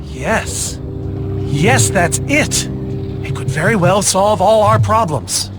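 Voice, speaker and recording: A man playing an eccentric, haughty older inventor in an animated video game, fussy, theatrical and exclamatory. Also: sits high, slow. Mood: excited. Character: eccentric, excitable older male inventor, haughty and easily exasperated. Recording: loud music behind the voice.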